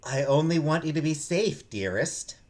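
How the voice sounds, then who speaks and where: A man's voice: a little high, lively intonation, moderate pace, darkish tone, lightly acted. A man voicing a manipulative, scolding mother who feigns care in a visual novel. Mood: coldly coaxing. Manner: scolding, controlling, falsely caring. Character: abusive, manipulative mother in a young woman's head.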